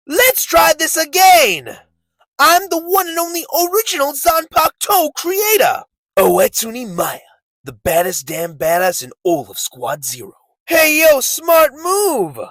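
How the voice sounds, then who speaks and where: Brash male anime swordsmith, showy, boastful, slangy, high swooping delivery, dry sound. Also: big pitch swings, measured pace, a bit bright, dry recording, exaggerated voice. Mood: cocky. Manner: brash, slangy, showman swagger. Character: flamboyant, boastful hip-hop swordsmith, brash male warrior. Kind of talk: anime dub acting.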